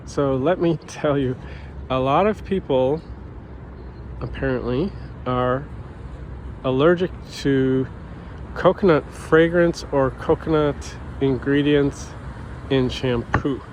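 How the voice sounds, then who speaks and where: A man's voice: mid-range voice, slow pace, long pauses, darkish tone, a little hiss or hum, poor audio. A man vlogging in a rambling, casual way with many fillers and restarts, sounding stuffy and sniffling. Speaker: vlogger, educator. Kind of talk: casual monologue to camera.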